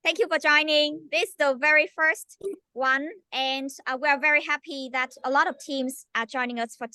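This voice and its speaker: A woman hosts an online session in a polite, formal manner. Her high, bright voice sounds dry and close. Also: steady tone, normal speed, no room sound. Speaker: session host.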